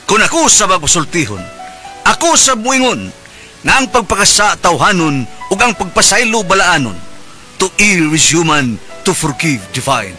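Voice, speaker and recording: A man's voice: sits high, big pitch swings, moderate pace, pushed and bright, faint music behind. A man declaiming a scripted radio intro in a playful, mock-solemn manner. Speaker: radio programme announcer.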